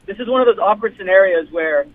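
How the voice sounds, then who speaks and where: A young man joins a casual chat as a guest, explaining himself politely with small hesitations. His voice sits high, and it comes through narrow and tinny, like a phone line with a faint hiss underneath. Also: fast talker. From United States.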